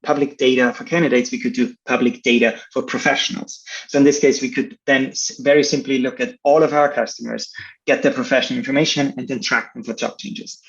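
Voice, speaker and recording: A man's voice: mid-range voice, steady tone, fairly quick, vocal fry. A man from Austria with an Austrian accent and German as his first language, explaining things casually as an interview guest on slightly lo-fi audio. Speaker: interview guest, startup co-founder.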